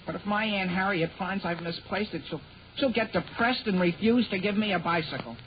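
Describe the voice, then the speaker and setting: A man's voice: sits high, fairly quick, close to an everyday voice. A man acting an eager, wheedling teenage boy in a 1940s radio sitcom. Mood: pleading, persistent. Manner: earnest, wheedling. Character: eager, scheming, excuse-making teenage boy, Henry. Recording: muffled, noisy 1940 radio recording.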